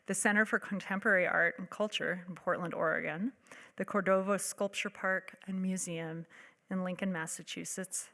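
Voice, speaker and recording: A woman host reading a measured introduction of a guest speaker. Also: lowish voice, fairly bright tone. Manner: measured, listing credits. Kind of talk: read introduction. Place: lecture hall. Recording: echoey hall with long reverb.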